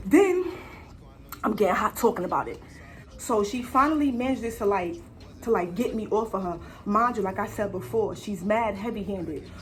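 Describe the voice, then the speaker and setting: A woman's voice: fairly high pitch, a little hiss or hum. A young adult woman telling a slangy, casual storytime as a vlogger, emphatic, dramatic and freely swearing, repeating phrases for effect. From United States.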